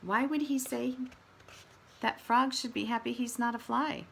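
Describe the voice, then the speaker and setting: Woman, teacher, bright voice, slow overall pace, children's read-aloud, explanatory, questioning. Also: fairly high pitch, steady tone, creaky, breathy edge. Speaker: kindergarten teacher reading aloud.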